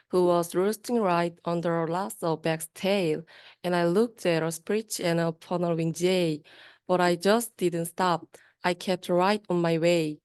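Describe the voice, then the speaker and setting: A woman's voice: fairly low pitch, a little mellow, clean tone, no room sound. A young woman, a university student, reading a rhyming children's story aloud. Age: young adult.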